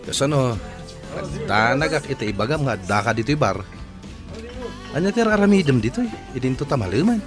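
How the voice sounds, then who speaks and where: An actor playing an expressive, questioning village man in an Ilocano radio drama, with an Ilocano accent. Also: medium pitch, brisk, long pauses, close to an everyday voice. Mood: puzzled, concerned. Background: music.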